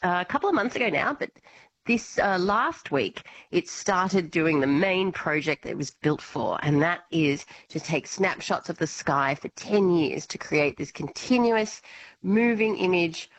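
A woman's voice: on the low side, lively intonation, unhurried, low-quality recording. A woman explaining science in a bubbly, laughing radio chat.

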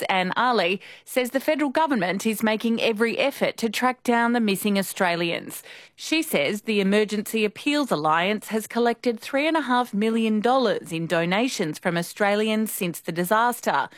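A woman news reporter delivers a scripted story in a measured, clear way. The sound is dry and close, and her voice sits at a middle pitch. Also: expressive, no room sound.

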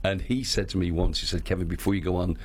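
A man who works as a town crier, chatting in an interview, talkative and quick to laugh. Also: deep voice, fairly even intonation, slight background noise. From Australia. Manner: chatty, laughs easily, acts out quoted voices. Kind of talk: casual interview chat.

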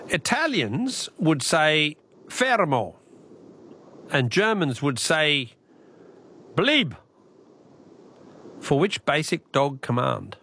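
Bantering Australian radio host, animated pitch. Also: male voice, slow, few pauses, slight background noise. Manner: jokey, bantering. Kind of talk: live phone-in quiz chat.

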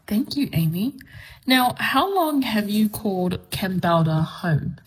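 A woman's voice: mid-range voice, expressive, slow, breathy edge, low-quality recording. A woman radio host presenting a breakfast show and interviewing a guest in a friendly, conversational way.

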